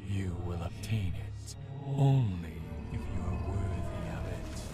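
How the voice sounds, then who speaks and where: A man's voice: deep voice, expressive, slow pace. A man playing a menacing, imperious spirit of a conquered Norse people in a comic dub, solemn and commanding, with archaic phrasing. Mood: solemn menace. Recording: loud music over the voice. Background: loud music.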